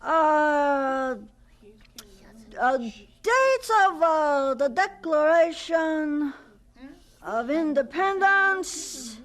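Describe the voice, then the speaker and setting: A very high, slow-speaking young boy for radio drama. He is earnest and polite, hesitating over his words with a shy curiosity. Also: expressive, fairly bright tone, exaggerated voice. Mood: hesitant, curious. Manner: hesitant, halting, stammering.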